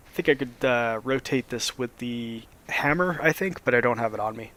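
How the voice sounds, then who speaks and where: A man, a little hoarse after a cold, casually explaining a modded game as he plays, with plenty of ums and restarts. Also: medium pitch, normal speed. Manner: relaxed, rambling, lots of fillers. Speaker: Minecraft let's play host.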